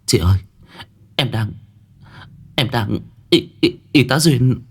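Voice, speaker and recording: A man voicing an earnest, emotional young woman in a story, halting and repeating words. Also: on the low side, expressive. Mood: tearful, urgent.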